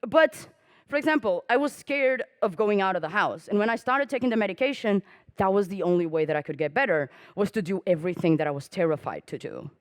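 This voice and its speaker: Young woman, native Portuguese speaker, conference talk, animated pitch, candid and joking, dry close sound. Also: quick pace, no room sound. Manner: candid, self-deprecating, casual with swearing and fillers; acts out quoted dialogue with jumps in pitch. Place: on a conference stage.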